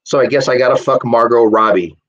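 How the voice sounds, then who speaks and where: A man's voice: medium pitch, fairly quick, lo-fi sound, voice pushed down, lightly acted. A man voicing a playful, teasing young woman in a live story reading, talking in a casual, blunt way.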